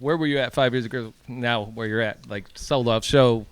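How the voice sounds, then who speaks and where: A man's voice: mid-range voice, normal speed, clear, resonant tone. A man co-hosting a radio show, firing off eager questions to the guest. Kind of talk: casual interview chat.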